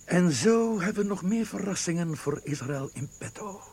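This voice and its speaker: An actor playing a boastful, scheming military man in a Dutch radio drama, speaking with smug, deliberate emphasis. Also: high voice, expressive, darkish tone, slightly rough, heavily acted.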